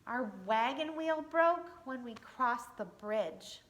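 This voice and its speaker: Brief: woman reading as an exhausted traveling father, slow, weary storybook voice. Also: high-pitched, fairly bright tone, echoey room. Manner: tired, weary. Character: weary, downcast male wooden father.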